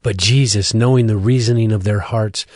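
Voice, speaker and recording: A man narrating scripture from a script as a measured storyteller. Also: lowish voice, fairly even intonation, darkish tone, no echo, studio quality. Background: music.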